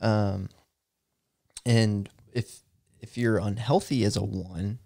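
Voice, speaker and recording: A young man co-hosting a podcast in casual chat, animated and quick to laugh, often tagging "right?" on his points. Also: lowish voice, creaky, dry recording, studio quality. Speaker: podcast co-host. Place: small home studio room. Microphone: boom-arm podcast mic.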